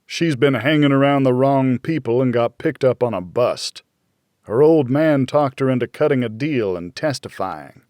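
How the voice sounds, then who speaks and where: A man's voice: medium pitch, normal speed, darkish tone, dry recording, clean studio sound, lightly acted. A man voicing a blunt, needling, worried older friend in a noir audiobook. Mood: curious.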